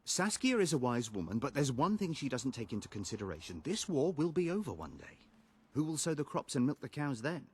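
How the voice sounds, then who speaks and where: A man's voice: medium pitch, big pitch swings, fairly quick, a little mellow, husky. An actor playing a haughty, self-righteous nobleman in a video game, lecturing pompously. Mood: disdainful. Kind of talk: video game dialogue acting.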